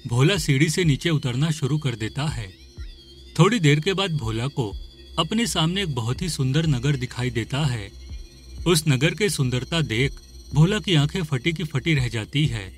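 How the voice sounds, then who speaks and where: Fairly high-voiced male story narrator. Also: lively intonation, normal speed, soft background music. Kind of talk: scripted cartoon story narration.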